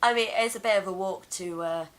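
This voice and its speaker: A young Englishwoman with a deep voice, hoarse from being ill, rambling through a casual vlog chat at home on a camera mic. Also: brisk. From England. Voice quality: deep for a woman. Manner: rambling, chatty, laughs often. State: hoarse from illness. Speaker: vlogger. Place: home room.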